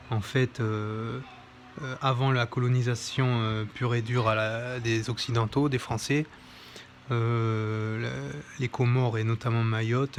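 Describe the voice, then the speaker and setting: A man's voice: medium pitch, slight background noise. A man presenting a history book in an informal, hesitant commentary, with many fillers and self-corrections. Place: home near an airport.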